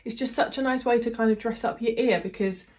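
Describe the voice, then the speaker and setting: A woman's voice: on the high side, fairly quick, a little mellow. A woman in her twenties with a British accent, chatting casually through a fashion haul in a bubbly, rambling way and filling her talk with "like" and "kind of". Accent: British English. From United Kingdom. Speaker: beauty and fashion vlogger.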